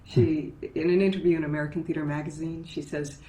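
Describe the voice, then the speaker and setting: A woman's voice: low voice, little pitch movement, fast, mellow. A woman guest, a voice and dialect coach, explaining her ideas in a thoughtful, casual way and often checking in with "right?". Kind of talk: casual conversational interview. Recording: roomy echo with faint ambience.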